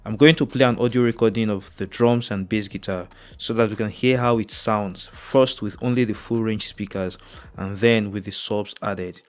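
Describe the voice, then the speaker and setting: A young man presenting an instructional tutorial on audio gear in a measured, methodical way. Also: fairly low pitch, fairly even intonation. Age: young adult. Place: indoors, dark studio room.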